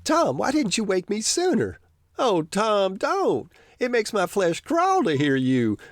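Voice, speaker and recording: A man's voice: high-pitched, wildly swooping pitch, measured pace, no room sound, studio quality, pitched above the performer's own voice, exaggerated voice. A man voicing a worried boy pleading with his sick brother. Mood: anxious. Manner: anxious, pleading.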